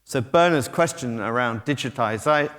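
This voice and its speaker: A man's voice: mid-range voice, moderate pace, a bit bright. British man giving a talk in a reverberant hall. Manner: conversational, hesitant with frequent ums and restarts. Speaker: conference speaker, technology company head. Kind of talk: conference keynote talk. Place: conference hall. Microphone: stage mic, moving about while talking.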